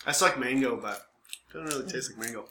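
A man's voice: medium pitch, quick pace, pushed and bright. A man chatting casually as co-host of a podcast. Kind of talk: casual chat. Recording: echoey room, faint background noise.

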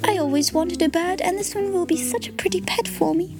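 Female reader voicing a gentle, cheerful poor little girl, very high and soft, storybook style. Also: brisk, over music. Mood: tender.

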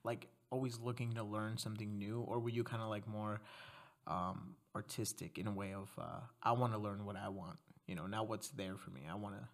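A man's voice: fairly low pitch, fairly even intonation, fairly quick. A man from Mexico hosting a podcast interview, talking in a loose, rambling way, correcting himself and laughing often. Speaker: podcast host. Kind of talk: casual interview chat.

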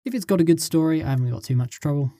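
Guy getting interviewed, really dark soft-edged tone, dry sound, short cagey answers, kinda hesitant. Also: fairly high pitch, expressive, very fast, no echo. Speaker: scriptwriter being interviewed.

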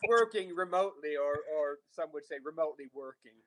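Older man, higher voice, understated podcast guest. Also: brisk. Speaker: interview guest, priest and university chaplain. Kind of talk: casual interview chat.

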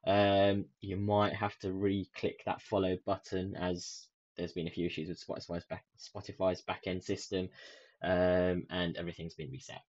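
A young man from the UK hosting his own podcast in a soft, soothing voice, rambling with many ums and restarts. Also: low-pitched, little pitch movement, moderate pace. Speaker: podcast host. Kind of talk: casual solo podcast chat. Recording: dull lo-fi sound.

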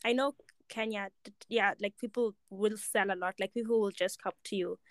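A woman hosting a podcast in casual, excitable chat, with informal, slangy phrasing. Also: medium pitch, fairly quick, dry recording. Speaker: podcast host.

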